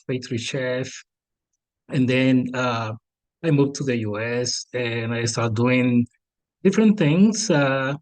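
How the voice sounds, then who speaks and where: A middle-aged man from Cuba, a native Spanish speaker, telling his story as an interview guest, halting with many fillers and restarts. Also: medium pitch, no echo, low-quality recording. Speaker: guest, cafe founder. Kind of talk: casual interview.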